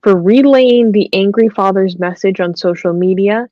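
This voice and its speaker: A woman co-hosting a talk show, reading news reports aloud with many pauses and ums, then adding her own comments. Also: medium pitch, dry recording, lo-fi sound.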